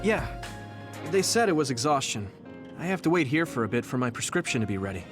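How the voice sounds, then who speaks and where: A man's voice: medium pitch, very animated, quick pace, music in the background, lightly acted. A man playing an earnest, hesitant young soldier in a video game cutscene, full of confused questions. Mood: uncertain. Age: young adult.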